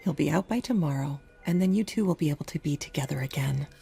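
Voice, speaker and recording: A woman playing a tender, worried mother in a horror audio drama, with a soft, husky voice. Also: sits low, animated, fast, soft background music, full character voice. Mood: reassuring.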